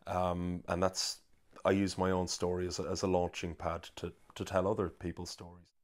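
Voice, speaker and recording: Irish guy, real low voice, thinking out loud about his song, lots of ums and restarts. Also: completely flat delivery. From Ireland. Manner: thoughtful, halting, with frequent ums and restarts. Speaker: singer-songwriter talking about his song. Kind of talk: reflective interview talk.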